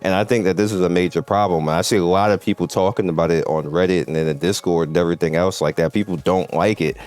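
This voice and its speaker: A man's voice: low voice, fairly even intonation, fast, a little mellow. A man hosting a gaming video, giving casual, emphatic commentary and repeating his points as he addresses viewers. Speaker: host of a mobile game video channel. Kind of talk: casual gameplay commentary, talking to viewers and developers. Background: music.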